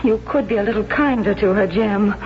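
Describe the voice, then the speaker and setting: A woman's voice: medium pitch, close to an everyday voice. An actress playing a frank, weary wife and mother, speaking with earnest emphasis in a 1970s radio drama. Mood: reproachful, regretful. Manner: measured, emphatic, earnest reproach. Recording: muffled old radio broadcast, faint noise.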